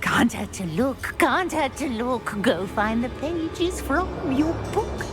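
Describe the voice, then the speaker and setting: Game: a shattered, rhyming prisoner; very slow, high, wild pitch swings. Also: female voice, lively intonation. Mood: unhinged. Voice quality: sing-song, rhyming and repeating words. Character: broken, half-mad woman prisoner, rhyming. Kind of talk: video game voice acting. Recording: game audio with music behind.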